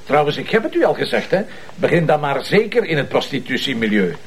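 An actor playing a teasing, bossy police commissioner in a crime drama, with a gravelly voice and a Flemish accent. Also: male voice, on the high side, very animated, fairly quick, full character voice.

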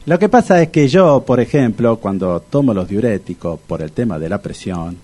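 An actor playing a grumbling, blunt older Argentine man in a comic radio play, with a husky voice and colloquial muttering. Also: fairly low pitch, animated, normal speed, mellow. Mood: confiding. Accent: Argentine, Rioplatense.

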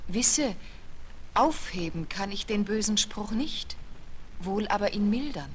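An actress playing a calm, consoling wise fairy in a fairy-tale radio play, speaking with solemn, measured declamation. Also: female voice, medium pitch, a little hiss or hum, rough recording. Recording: old vinyl radio play.

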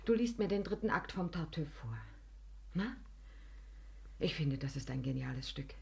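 An actress playing an aging, spurned companion in a German radio play, imploring and weary, with an emotional, halting, often hushed delivery. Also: female voice, deep voice, animated, gravelly. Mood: coaxing.

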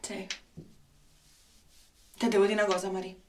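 A woman's voice: mid-range voice, little pitch movement, lightly acted. Weary, embittered Roman woman, played by an actress, roomy sound. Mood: afraid, bitter. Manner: colloquial, halting. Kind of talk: radio drama acting. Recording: roomy, distant, noisy microphone.

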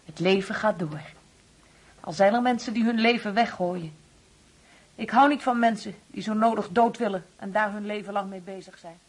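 A woman's voice: medium pitch, normal speed, close to an everyday voice. An actress playing a grieving, wounded middle-aged mother in a Dutch radio play, pleading and emotional. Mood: resigned.

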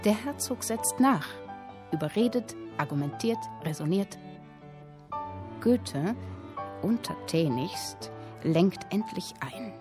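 A woman performing literary narration in a radio drama, telling a historical tale in a deliberate, dramatic way with clipped phrases. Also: medium pitch, lively intonation. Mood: dramatic, wry. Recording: music under the voice. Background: music with violin.

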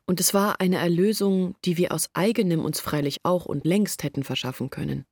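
A woman's voice: lowish voice, moderate pace, a little mellow, breathy edge, no echo, studio quality. An actress playing a young village woman who earnestly confides her family's troubles in a radio play. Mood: earnest, confiding. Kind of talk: German radio play monologue.

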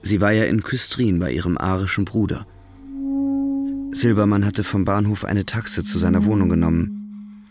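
A man's voice: low voice, steady tone, moderate pace, pauses often. A man reading scripted literary narration as the narrator of a drama, with music behind him. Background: instrumental music.

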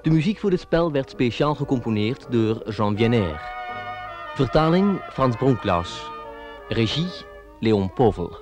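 A man's voice: medium pitch, sing-song, unhurried. A man giving a formal, scripted announcement for a radio play. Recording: music behind announcement. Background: music.

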